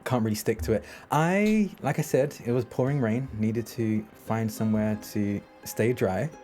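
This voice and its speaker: A man's voice: mid-range voice, moderate pace, a little mellow, faint music behind, close to an everyday voice. A man voicing a cheeky, evasive vampire with a flippant, nonchalant way of talking.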